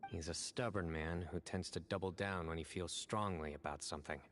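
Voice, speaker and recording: An actor playing a stern, composed young desert guardian in a video game, speaking in a measured, deliberate, solemn way with reflective resolve. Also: male voice, low voice, a bit bright, slight background noise. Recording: background music under dialogue.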